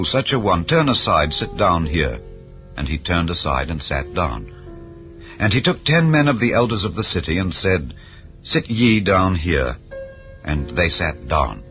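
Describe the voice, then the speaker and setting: A man giving a solemn, measured reading of old-style scripture as narration. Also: deep voice. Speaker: Bible reader. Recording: slightly dull with soft background music. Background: soft background music.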